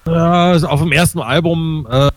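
A man's voice: on the high side, fairly even intonation, moderate pace, no room sound. A man in his fifties from Hessen, a musician guest answering interview questions hesitantly, with many fillers. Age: 50s.

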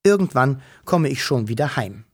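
A man's voice: medium pitch, lively intonation, normal speed, breathy edge. A man reading a story aloud, voicing a small, hot-tempered male forest creature who grumbles and hisses to himself. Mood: grumbling. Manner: grumbling, hissing under his breath.

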